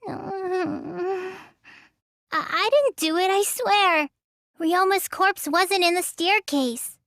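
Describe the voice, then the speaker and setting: A woman's voice: extremely high pitch, extremely slow, a bit bright, no echo, studio quality, exaggerated voice. A woman voicing a sulky, lazy teenage girl in a video game, with a pouty drawl that stammers when flustered. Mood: upset, pleading. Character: sulky, lazy teenage girl who claims real magic. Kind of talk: English video game voice acting.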